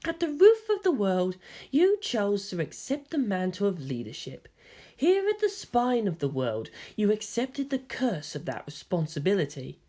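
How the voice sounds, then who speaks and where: A hushed, cryptic young male hybrid, put on by a woman reading a story aloud. He speaks softly and evenly, with a faint mocking edge that never rises above a murmur. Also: mid-range voice, huge pitch swings, pitched above the performer's own voice. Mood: cryptic calm. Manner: soft, measured, quietly mocking.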